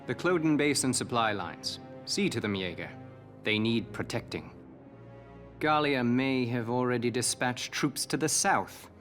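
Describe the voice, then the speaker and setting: An actor playing a composed, imperious noble army commander in a game cutscene, speaking formally and with measured authority. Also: male voice, mid-range voice, animated, a bit bright, soft background music. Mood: imperious, resolute. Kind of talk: dramatic game cutscene acting.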